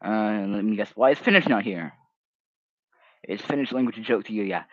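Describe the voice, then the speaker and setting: Young man, Hebrew native, casual streamer, animated pitch, dry slightly muffled mic. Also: a little fast, no echo. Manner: rambling, animated, drops to mumbles. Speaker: livestream host. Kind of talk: casual livestream chat reading comments.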